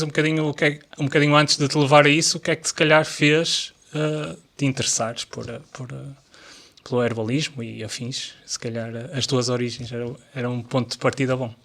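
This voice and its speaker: A man hosting a podcast, asking questions in a halting way and repeating words as he goes. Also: mid-range voice, fairly even intonation, fairly bright tone. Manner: hesitant, repeats words while forming questions. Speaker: podcast host. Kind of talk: casual interview conversation.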